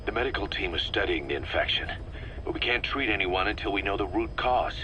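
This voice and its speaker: Hardass suspicious army commander, lowish forceful voice, terse and accusing, video game drama, bit of tape hiss. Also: male voice, animated, a little fast. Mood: grim, urgent. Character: hard, suspicious, bitter male military commander. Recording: slightly noisy cassette tape recording.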